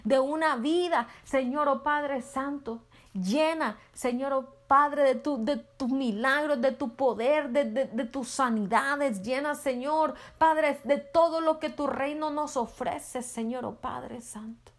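A woman leading a fervent spoken prayer with rhythmic repeated invocations, at times dropping to a soft near-whisper. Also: high-pitched, slow. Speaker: prayer leader.

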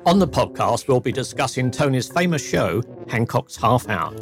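A man's voice: medium pitch, lively intonation, normal speed, soft background music. A man from England co-hosting a podcast, chatting casually and joking, with fillers along the way. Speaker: podcast co-host. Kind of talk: casual chat.

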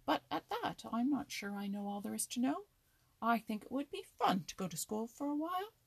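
A woman voicing an argumentative male red squirrel from a storybook, sharp and scolding in manner. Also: a little high, sing-song, moderate pace, gravelly, husky, dry recording, voice pushed up, exaggerated voice. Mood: scolding, curious.

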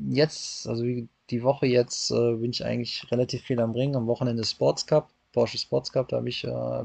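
A man's voice: mid-range voice, gravelly, a little mellow, no room sound. A man in his thirties, a racing driver answering interview questions casually, hesitant with many fillers and restarts, talking over a call from a hotel room. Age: 30s.

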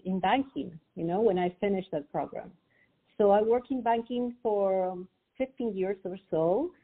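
Peruvian woman on a tinny phone line. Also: fairly low pitch. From Peru. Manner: hesitant, many fillers and restarts. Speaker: guest, financial advisor. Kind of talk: interview over the phone.

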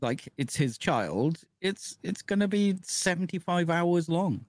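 A man with a lively, bouncing pitch, talking games casually as co-host. He swears freely and acts out other people's reactions. The sound is dry and close. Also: unhurried, no room sound. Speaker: podcast co-host. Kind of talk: casual chat.

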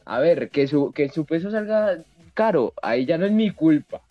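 A man's voice: fairly high pitch, animated, moderate pace, dry recording, muffled recording. A man from Colombia with a Colombian Spanish accent, chatting casually and often repeating his phrases. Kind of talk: casual group chat. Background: music.

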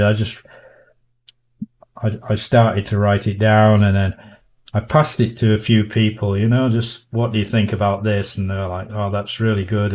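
An older man from northern England with a Yorkshire accent and a fairly low voice. He is a guest on a podcast, looking back casually on his life. His delivery is halting and self-effacing. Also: darkish tone. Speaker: guest, diver and author. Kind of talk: casual podcast interview, reminiscing.

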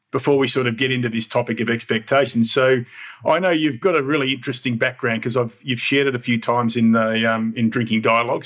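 A man's voice: mid-range voice, a bit bright. A man hosting an interview podcast, asking friendly, rambling questions. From Australia. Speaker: podcast host. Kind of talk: interview host.

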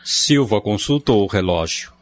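A man's voice: on the low side, expressive, unhurried, muffled recording. A man with a Brazilian accent giving formal, dramatic scripted narration for a radio drama.

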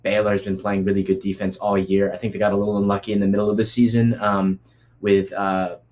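A man's voice: on the low side, little pitch movement, brisk, a little mellow. A man on a casual sports chat, weighing his views with a lot of "I think". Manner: thoughtful, hedging with "I think". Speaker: panelist.

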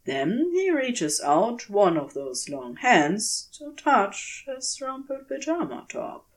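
A woman narrating a scripted story as an audiobook reader, expressive and deliberate, with dramatic pauses. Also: a little high. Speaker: audiobook reader of fan fiction.

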